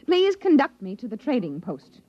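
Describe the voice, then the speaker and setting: Officious female inspector, highish voice, lively intonation, clipped, formal, demanding, old radio drama. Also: moderate pace. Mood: businesslike. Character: brisk, imperious red-haired female company inspector. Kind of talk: 1950s radio drama acting. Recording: dull old radio recording.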